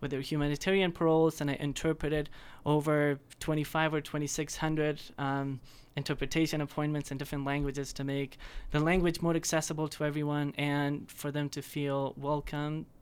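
A man's voice: a little high, level tone, a little fast, few pauses, smooth. A man in his twenties from Afghanistan, giving earnest, enthusiastic interview answers with frequent ums. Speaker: guest.

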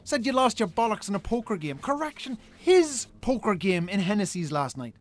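An actor playing an angry, scornful Irish brother in a radio drama, scolding with sarcasm and swearing. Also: male voice, high voice, big pitch swings, brisk. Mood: furious. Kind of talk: naturalistic radio drama acting.

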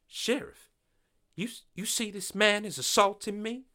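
Man doing a high, bright, smug Western villain. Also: sing-song, unhurried. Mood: indignant. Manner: smug, oily. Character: smug wealthy male landowner villain.

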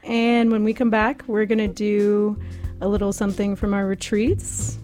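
A woman's voice: medium pitch, little pitch movement, moderate pace, music in the background. A woman co-hosting a podcast in casual chat, with a giggly, self-deprecating way of talking. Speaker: podcast co-host. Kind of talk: casual chat and playful dramatic reading.

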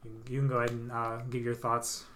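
A young man co-hosting a casual podcast, talking in a relaxed, hesitant way with lots of fillers and restarts. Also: medium pitch, quick pace, slight background noise. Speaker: podcast co-host. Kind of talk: casual chat.

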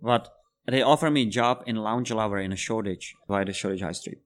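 A man's voice: fairly low pitch, fast talker. Czech-born man telling bartending stories. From Czech Republic. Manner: animated storyteller, laughs while telling anecdotes. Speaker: guest bartender. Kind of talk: casual interview storytelling.

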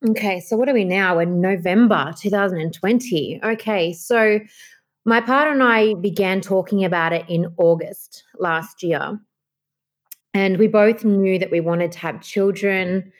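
A woman's voice: medium pitch, a little slow. A woman with an Australian accent and a nasal voice, chatting as an interview guest with many fillers and restarts. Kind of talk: casual interview chat.

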